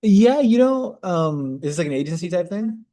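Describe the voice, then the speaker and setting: A man in casual video call chat, judging cold emails, relaxed and full of fillers as he thinks aloud. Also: high voice, moderate pace. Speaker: judge reviewing cold emails.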